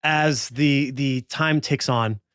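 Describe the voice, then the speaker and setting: A man working as game master, improvising suspenseful narration for a tabletop western in a halting, lingering way. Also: mid-range voice, fairly bright tone, clean tone, no room sound, studio quality.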